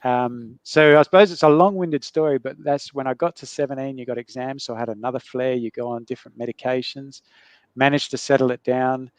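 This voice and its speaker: A middle-aged man recounts his past in easy conversation. His delivery rambles, with fillers, restarts and quick asides. His voice sits at an ordinary pitch, and the recording is dry and close. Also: steady tone, dry recording. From Netherlands. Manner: rambling, many fillers and restarts, laughs between remarks. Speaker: guest sharing his health story. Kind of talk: casual conversational storytelling.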